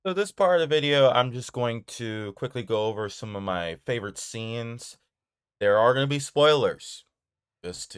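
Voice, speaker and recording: Enthusiastic man with a smooth low voice. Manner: enthusiastic, rambling, informal. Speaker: video creator reviewing horror films. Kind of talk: casual opinion commentary.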